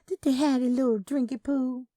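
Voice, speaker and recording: A woman's voice: high voice, steady tone, moderate pace, warm tone, dry recording, clean studio sound, voice pushed up, natural voice. A woman voicing a gossipy young Southern mother, drawling and conspiratorial, in a story. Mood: conspiratorial, teasing.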